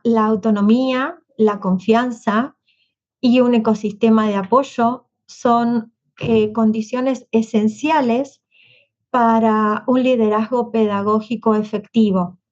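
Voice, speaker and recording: A woman school principal and panelist speaking in her native Spanish, measured, pausing with frequent fillers. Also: a little high, a little mellow, dull audio. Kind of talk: prepared panel answer.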